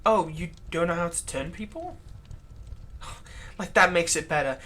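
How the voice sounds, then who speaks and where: A woman playing a long-serving, exasperated human servant of a vampire in a dramatic roleplay monologue, halting and sardonic. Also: fairly low pitch, a bit bright. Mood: indignant. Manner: conversational, halting, sardonic, emphatic when aggrieved. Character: long-serving human thrall, exasperated, pleading, turning menacing toward vampire master. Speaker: roleplay audio performer.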